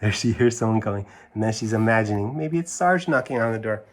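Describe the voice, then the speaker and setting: A man's voice: on the low side, expressive, quick pace. A man reading aloud to children in a casual style, adding conversational asides and questions to his listeners. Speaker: children's story reader.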